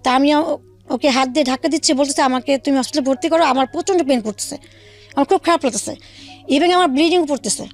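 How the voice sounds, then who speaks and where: High-pitched, quick-talking Bangladeshi woman. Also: soft background music. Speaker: guest telling own life story. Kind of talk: personal storytelling in an interview.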